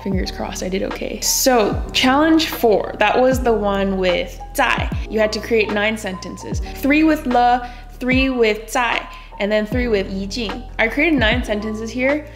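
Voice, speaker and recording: A woman's voice: on the high side, a little slow, over music. A woman hosting her language channel. She reviews a course in a casual, upbeat way and reads out a tutor's corrections with her own remarks. Her first language is Cantonese. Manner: upbeat, reads corrections aloud and comments on them.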